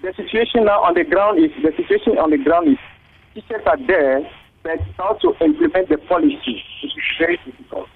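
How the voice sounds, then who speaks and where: A man with a Ghanaian English accent comes through a tinny phone line. His voice sits fairly high, and he speaks hesitantly, with lots of "uh"s and repeated words. This is a radio interview guest explaining his views. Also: very animated, brisk, vocal fry, a little hiss or hum. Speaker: interview guest, teachers' association official.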